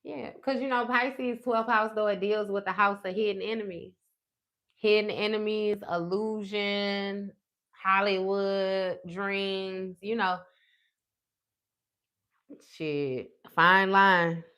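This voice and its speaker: Bright-voiced, blunt woman in her thirties. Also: mid-range voice, steady tone, slow pace. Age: 30s. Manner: blunt and profane, talks straight to her viewers. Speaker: tarot livestream host. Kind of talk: casual livestream chat with viewers.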